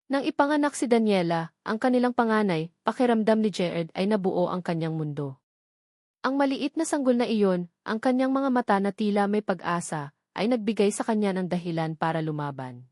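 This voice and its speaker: A woman's voice: medium pitch, lively intonation, normal speed, darkish tone, no echo, studio quality. A woman with a Filipino accent reading a scripted dramatic story as its narrator.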